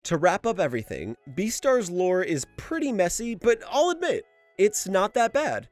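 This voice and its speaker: A man's voice: sits high, big pitch swings, moderate pace. A man hosting an anime lore video, delivering scripted commentary in an emphatic way. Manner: emphatic, exasperated asides, swearing. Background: background music.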